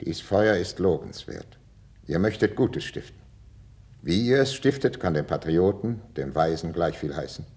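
A man's voice: low voice, sing-song, normal speed, a little mellow. An actor playing a stern, suspicious king in a classical radio drama, speaking with weighty, formal authority. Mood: measured, condescending. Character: stern, commanding king, measured, suspicious, majestic. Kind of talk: 1950s German radio drama, classical verse acting.

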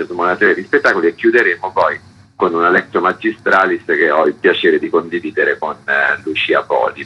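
Deep husky-voiced man, interviewed on radio. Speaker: theatre artistic director interviewed as guest. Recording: muffled radio broadcast.

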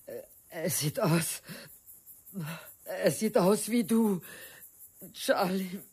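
An actress playing a suspicious, scornful country wife in a radio drama, with halting, drawn-out speech. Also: female voice, mid-range voice, a little mellow, breathy edge, full character voice. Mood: suspicious, mounting horror.

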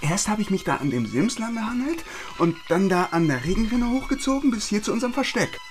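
Excitable cocky young little brother. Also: male voice, high-pitched, a little fast, music in the background. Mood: defensive, boastful. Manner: boastful, excitable, slangy. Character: cocky, eager 19-year-old little brother, petty looter. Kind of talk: radio drama acting.

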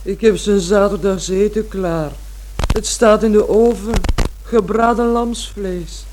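A woman's voice: mid-range voice, steady tone, slow pace, a little mellow, a little hiss or hum, lightly acted, rough recording. An actress playing a bewildered, distraught middle-aged mother in a radio drama, pleading and repeating herself. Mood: dazed, shocked. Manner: pleading, repetitive.

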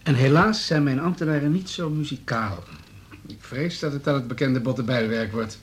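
A man's voice: medium pitch, moderate pace, close to an everyday voice. Condescending male politician played by an actor. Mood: matter-of-fact. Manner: smooth, businesslike, needling. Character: hard-nosed, condescending male town politician. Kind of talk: Dutch radio drama dialogue.

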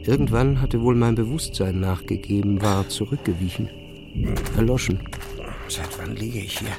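A man reading a first-person literary narration in short, measured phrases with pauses. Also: fairly low pitch, creaky, over music. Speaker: audiobook narrator.

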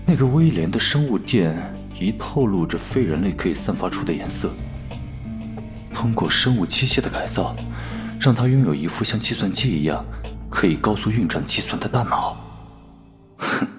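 A man playing a cool, fastidious alien parasite posing as a human in an audio drama, detached and disdainful. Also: lowish voice, lively intonation, music in the background, close to an everyday voice. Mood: cold, scheming. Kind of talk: audio drama dialogue and inner monologue.

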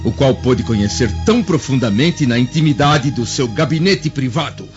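Man acting proud, solemn Roman senator, animated delivery. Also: mid-range voice, normal speed, natural voice. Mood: cold, solemn. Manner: solemn, pompous oratory. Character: proud, righteous Roman senator and wounded husband. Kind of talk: radio drama acting. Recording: dull lo-fi radio drama audio. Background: background music.

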